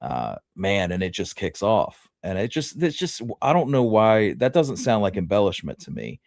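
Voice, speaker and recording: A man co-hosting a casual history discussion, thinking aloud in a halting way. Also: fairly low pitch, sing-song, darkish tone, dry recording, studio quality. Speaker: history show co-host.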